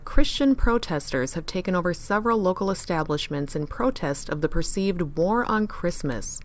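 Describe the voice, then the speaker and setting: A woman's voice: on the low side, natural voice. A woman playing a composed, sardonic news anchor in a parody newscast for radio drama. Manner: deadpan.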